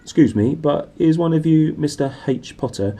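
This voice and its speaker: Storybook hotel landlady, done by a male reader: polite, informal, querying, slightly husky, animated pitch. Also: moderate pace, faint music behind. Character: female hotel owner, polite, put-upon. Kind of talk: children's storybook character voice.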